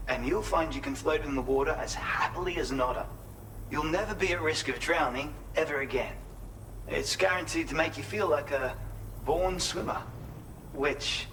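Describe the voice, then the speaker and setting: Casting: eccentric, whimsical male inventor for a game cutscene, played by a man, higher-than-average voice, clearly noisy capture. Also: recorded from a distance, natural voice. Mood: eager, proud. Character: eccentric, otter-loving male inventor, cheerful and earnest. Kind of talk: scripted video game cutscene acting.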